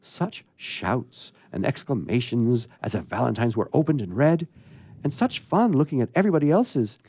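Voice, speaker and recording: A man hosts a radio theatre show in a mellow, lively voice that moves freely up and down. He rambles playfully between chat and readings with a theatrical touch. The sound is close and dry. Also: mid-range voice, normal speed, no echo. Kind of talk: live chatty hosting with readings aloud.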